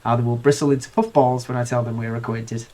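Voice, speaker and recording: A man's voice: mid-range voice, fairly even intonation, fast talker, mellow, lightly acted. A man reading a harried moogle courier. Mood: fussy. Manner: flowery, exasperated read-aloud dialogue. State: nasal from a bad cold. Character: fussy, harried male moogle letter courier.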